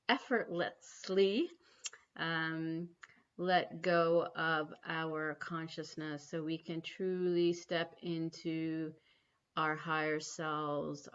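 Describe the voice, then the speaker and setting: New woman, spiritual livestream host, earnest and halting, bright tone, muffled stream audio. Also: fairly low pitch. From New York City. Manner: earnest, many ums and restarts. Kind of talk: spiritual livestream talk and guided prayer. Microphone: computer mic on a livestream.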